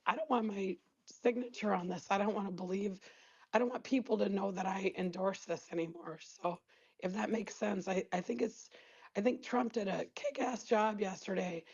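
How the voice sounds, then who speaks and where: A woman's voice: medium pitch, a little mellow, breathy edge, highs cut off. A woman from Wisconsin calling into a live talk show, losing her voice, blunt and rambling. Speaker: caller.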